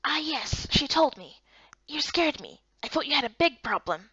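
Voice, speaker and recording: A woman voicing a gentle, mild-mannered male friend with a soft, kindly, apologetic way of talking, for a voiced story game. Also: sits high, sing-song, moderate pace, no room sound, lo-fi sound, heavily acted.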